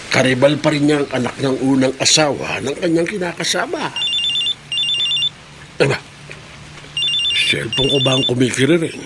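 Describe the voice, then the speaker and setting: A man's voice: fairly high pitch, background noise. A Filipino man playing a folksy, grumbling elderly father who mutters and interjects in a radio drama.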